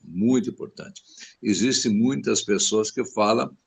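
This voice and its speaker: Pastor guy on a video call, low gravelly voice, earnest. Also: unhurried. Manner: earnest, stresses importance. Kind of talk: exhortation in group discussion.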